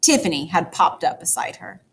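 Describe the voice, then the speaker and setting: A woman teacher reading a children's story aloud, with expressive, dramatic emphasis for young listeners. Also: lowish voice, normal speed, slightly rough. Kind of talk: animated children's book read-aloud.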